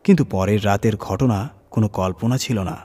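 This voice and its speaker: A man reading a scripted horror story dramatically, with suspenseful pauses and emphasis. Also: lowish voice, warm tone, husky. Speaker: horror story reader and channel host.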